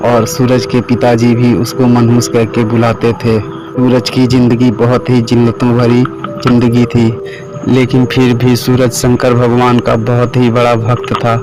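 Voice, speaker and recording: Male narrator for cartoon tales: slow, flat delivery, mid pitch, music underneath. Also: rough recording. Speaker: storyteller, narrator. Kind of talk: scripted cartoon story narration. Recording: music behind the voice.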